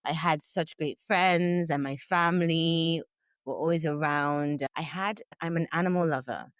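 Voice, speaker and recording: A woman from Nigeria, a guest on a call, chatting warmly about her childhood with plenty of casual fillers. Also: low voice, measured pace, no room sound, clean studio sound. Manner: chatty and warm storytelling, frequent "like" fillers. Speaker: guest, fashion founder. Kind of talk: casual remote interview chat. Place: remote call from Lagos.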